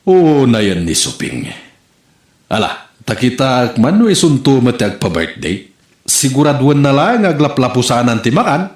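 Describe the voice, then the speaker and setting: A man's voice: medium pitch, very animated, moderate pace, natural voice. A man playing a disgruntled village husband in an Ilocano comedy radio drama, with an Ilocano accent. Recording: roomy echo, music underneath. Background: music.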